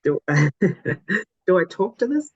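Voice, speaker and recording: A man's voice: high voice, big pitch swings, slow, a little mellow, slightly rough, no room sound, lo-fi sound. A man from South Africa, a netball coach, casually introducing himself on a video call with chatty, rambling stories. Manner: chatty, laughing, rambling anecdotes.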